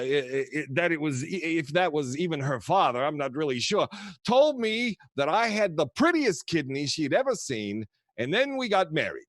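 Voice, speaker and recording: An actor playing a kooky old grandfather who tells rambling, theatrical, halting stories to his grandchildren in a comedic audio play. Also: fairly high pitch, a bit bright, no echo, clean studio sound. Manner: theatrical, wistful, halting storyteller.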